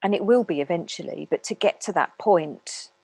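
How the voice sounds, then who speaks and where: A woman speaking as a meditation teacher, calmly guiding listeners in an unhurried way with long pauses. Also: on the low side, creaky, slightly rough, no room sound, poor audio. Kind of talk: guided meditation talk.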